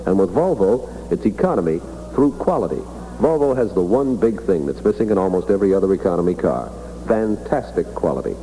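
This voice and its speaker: A man's voice: sits low, animated, fairly quick, dark tone, faint music behind, rough recording. A man hosting a morning radio show in 1960s announcer style, reading time checks and ads. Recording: vintage 1960s radio broadcast.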